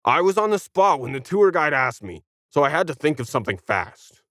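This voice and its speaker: A man's voice: fairly high pitch, sing-song, fairly quick, pushed and bright, no room sound, clean studio sound. A man voicing a smug, whiny tentacled alien who sneers condescendingly at humans in an audio science fiction story. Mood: defensive. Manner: condescending, sarcastic.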